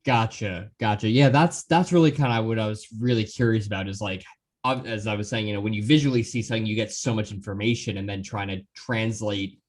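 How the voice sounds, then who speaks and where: A man conducts a casual podcast interview in a fairly low voice. He rambles a little, working his way toward each question in a roundabout manner. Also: fairly quick.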